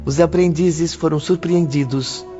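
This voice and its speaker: A man's voice: on the high side, fairly even intonation, measured pace, warm tone. A man reading scripted dramatic narration for an audiobook novel. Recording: dull audio under clear music.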